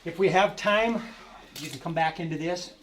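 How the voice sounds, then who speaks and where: A man's voice: a little high, moderate pace. A man from Michigan who directs a historic village, guiding visitors on a tour and telling stories with a joking, folksy manner. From Michigan, USA. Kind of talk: guided heritage tour talk. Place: indoor historic building on a tour. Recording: roomy echo, far from the mic, noise behind.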